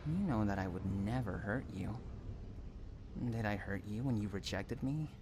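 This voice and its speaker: A man's voice: fairly low pitch, expressive, normal speed, noisy background, lightly acted. A man acting an obsessive, unhinged stalker in an audio roleplay, cooing pet names and drawing out words between tenderness and anguish. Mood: menacing, coaxing. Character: obsessive, unhinged male stalker lover, sweetly possessive and menacing. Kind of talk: dramatic roleplay audio monologue.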